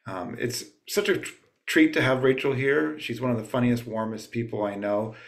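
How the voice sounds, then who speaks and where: A man's voice: medium pitch, steady tone, moderate pace. A man hosting a book club over a video call, introducing a guest author with frequent hesitant fillers. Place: at home late at night.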